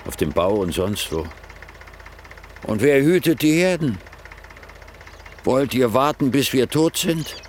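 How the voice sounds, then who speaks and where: A man's voice: lowish voice, slight background noise, full character voice. An actor playing an earnest village farm cooperative chairman, urging his friend in a radio drama.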